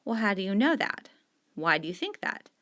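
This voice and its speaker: A woman's voice: medium pitch, sing-song, moderate pace, pushed and bright. A middle-aged woman teacher patiently explaining discussion questions to students, stressing key words while she marks up the page with a pen. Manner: annotating on screen with a pen while talking.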